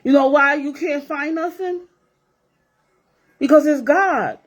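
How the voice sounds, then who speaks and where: A woman's voice: sits high, slow pace. A woman in her forties talking straight to her viewers in a blunt, profane rant, repeating herself for emphasis. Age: 40s. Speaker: vlogger. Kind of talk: casual rant to camera.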